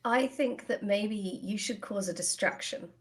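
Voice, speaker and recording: A woman playing a tabletop role-playing game, improvising in character with deliberate, rather formal phrasing. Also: mid-range voice.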